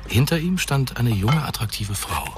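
A man's voice: medium pitch, expressive, moderate pace, loud music behind the voice. A man narrating a scripted science fiction radio drama in a composed, literary manner.

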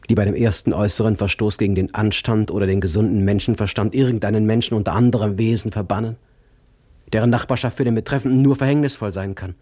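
An indignant man of letters delivers a formal, rhetorical radio monologue. His voice is deep and husky, recorded very dry and close. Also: a little mellow, no echo. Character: indignant male essayist denouncing asylums and institutions. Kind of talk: literary radio drama monologue.

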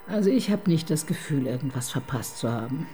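An actress in a radio crime drama playing a middle-aged, married woman detective who is ironic and needling, with soft music under her lines. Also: very deep voice, lively intonation. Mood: dry, skeptical. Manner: ironic, needling, quick to tease. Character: wry, probing married woman police detective. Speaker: detective chief inspector.

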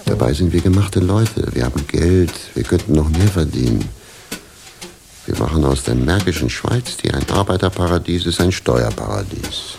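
Very deep, gravelly weary drifter. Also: male voice, lively intonation, normal speed, over music, heavily acted. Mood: dryly musing. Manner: laconic, fatalistic, dry. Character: weary, philosophizing male drifter waiting with suitcases. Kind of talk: absurdist radio play dialogue. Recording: noisy 1980s radio play recording.

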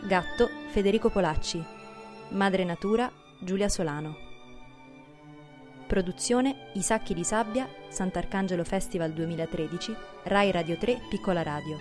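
A woman's voice: fairly low pitch, normal speed, darkish tone. A woman narrating a radio drama and reading its credits in a scripted style. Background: classical string music.

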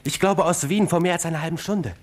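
An actor playing an agitated, impatient young army lieutenant in a radio drama, speaking in urgent, insistent bursts. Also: male voice, fairly high pitch, quick pace, close to an everyday voice. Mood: anxious, tense. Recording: noticeable background noise and hum.

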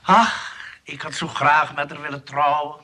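A man playing a prim, wistful male customer in a radio comedy, speaking in measured short phrases. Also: a little high, steady tone, bright, forceful tone, a little hiss or hum. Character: wistful, prim male neighbour and rejected suitor. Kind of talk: Dutch radio comedy drama acting.

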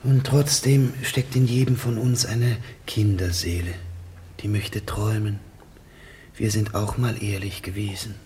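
Actor as a lowish-voiced sentimental gangster. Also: male voice, fairly even intonation, measured pace, darkish tone, lightly acted. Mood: wistful. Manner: earnest, plaintive. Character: sentimental, wistful male gangster, self-pitying dreamer. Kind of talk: 1950s radio comedy-drama acting. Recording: old radio recording with noise.

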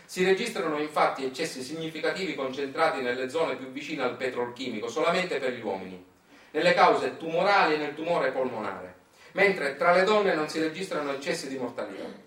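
A man's voice: on the high side, a bit bright. A man with a Sicilian accent performing a theatrical narrative monologue with dramatic emphasis, recorded from a distance in an echoey room. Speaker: stage monologist. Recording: echoey room, far from mic.

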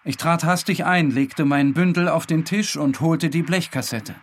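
A man's voice: fairly high pitch, normal speed. A man narrating a literary story in a dramatic scripted style. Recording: music behind the voice.

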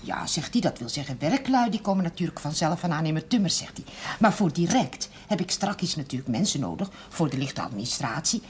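Gossipy, helpful woman, lowish voice, period TV drama with faint background noise. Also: big pitch swings, fairly quick. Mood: chatty, eager. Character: chatty, well-meaning gossipy female acquaintance. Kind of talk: television period drama acting. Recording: faintly noisy 1970s television soundtrack.

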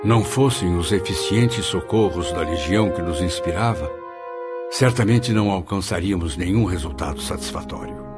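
An actor playing an anguished, earnest spirit with wounded pride, speaking in formal literary diction in a radio drama. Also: male voice, sits low, moderate pace, over loud music, natural voice. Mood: solemn, disappointed.

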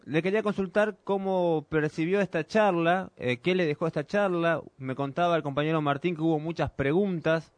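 A man's voice: a little high, moderate pace, hardly any pauses, no room sound. A man with an Argentine accent hosting a radio interview, patiently rephrasing his questions for his guest. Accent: Argentine Spanish. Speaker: radio host. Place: radio studio.

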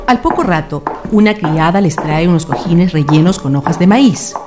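A woman narrating a scripted radio drama in an expressive storytelling manner. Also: on the low side, moderate pace, over music.

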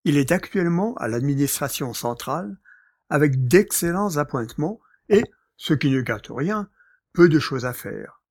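Urbane gentleman master played by a man, husky, slightly high, dry close sound, courteous gossip. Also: expressive, moderate pace, dry recording. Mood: urbane, amused. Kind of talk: French audio drama acting.

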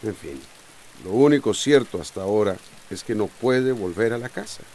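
A man's voice: fairly low pitch, expressive, moderate pace, a little mellow. A man acting in a radio soap opera as a harried, dutiful middle-aged small-town mayor. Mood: worried, weary. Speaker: radio drama actor.

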